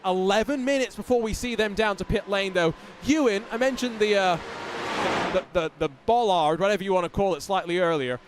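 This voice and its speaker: High-voiced male race commentator. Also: fairly quick, background noise. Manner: chatty, rambling with fillers. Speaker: sim racing commentator.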